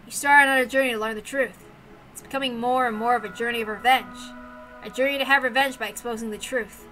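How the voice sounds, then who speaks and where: A calm male bodyguard from a visual novel, read by a woman, pressing his point in a measured, admonishing way. The voice is fairly high and bright, with music playing behind it. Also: rough recording. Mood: cautioning. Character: calm, persistent male bodyguard lecturing a girl.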